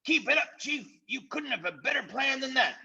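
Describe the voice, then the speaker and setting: A man's voice: extremely high pitch, animated, moderate pace, vocal fry, bright, forceful tone, dull audio, pitched above the performer's own voice, exaggerated voice. A man voicing silly, fawning creature followers who eagerly agree, in a storybook reading.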